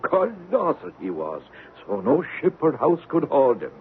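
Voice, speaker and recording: An actor playing an awed storyteller in an old radio drama, dramatic and lilting, with a thin broadcast sound. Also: male voice, mid-range voice, huge pitch swings, measured pace. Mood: awed, ominous. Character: awed Irish storyteller recalling a monstrous evil giant. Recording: tinny, noisy vintage radio broadcast.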